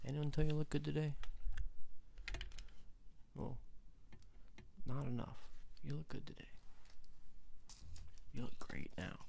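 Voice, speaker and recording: A man in his twenties murmuring and whispering a halting, breathy ASMR roleplay as a repair technician, recorded up close in a room at home. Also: on the high side, steady tone, dark tone. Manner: halting, murmured, personal attention. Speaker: ASMR performer playing an android repair technician. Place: small room at home, indoors. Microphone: close to camera, at arm's length or nearer. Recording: noisy home recording with clicking.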